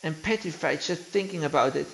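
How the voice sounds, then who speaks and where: A non-native English-speaking man voicing an earnest young fire-lizard creature in a video game, halting, emotional and worried but determined. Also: a little high, gravelly, background noise. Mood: scared, halting. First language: not English. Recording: game music behind the voice.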